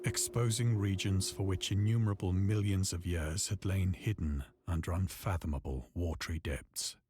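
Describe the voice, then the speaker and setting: A man voicing a lone castaway in a game, narrating his ordeal in a grave, measured way over game music. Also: low voice, fairly even intonation, natural voice. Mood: somber. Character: lone male castaway recounting dread, solemn. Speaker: narrator of a visual novel. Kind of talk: scripted literary narration. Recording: game audio under loud music.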